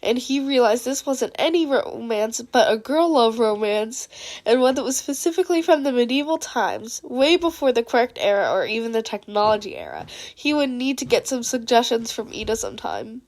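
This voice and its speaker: Higher-pitched woman reading a story aloud. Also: big pitch swings, moderate pace, a little mellow. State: sick with a cold. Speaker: fan fiction narrator. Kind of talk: amateur audiobook narration, reading aloud.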